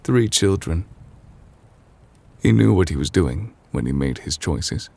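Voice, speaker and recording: A man playing an ancient, weary chief judge in an intimate ASMR roleplay, formal and gentle, speaking in measured, hesitant phrases. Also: animated, exaggerated voice. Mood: sombre, weary. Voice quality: husky, breathy low voice. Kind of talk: intimate ASMR audio roleplay, slow dramatic monologue.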